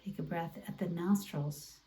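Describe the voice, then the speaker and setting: A woman instructor leading a guided meditation in a gentle, deliberate, encouraging way over an online video class. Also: fairly low pitch, fairly even intonation. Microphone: away from the mouth, video call.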